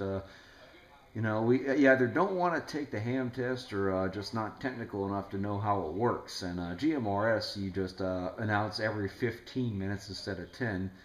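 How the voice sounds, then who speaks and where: A man chatting casually over the radio as an amateur operator, explaining things in a rambling way. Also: on the low side. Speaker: ham radio operator making a video. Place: indoors in a home room. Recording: echoey room.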